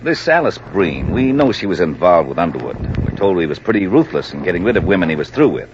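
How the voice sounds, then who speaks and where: A man's voice: deep voice, sing-song, quick pace. An actor playing a methodical male homicide lieutenant questioning a witness in a 1950s radio drama. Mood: probing. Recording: dull lo-fi old radio broadcast with faint hiss.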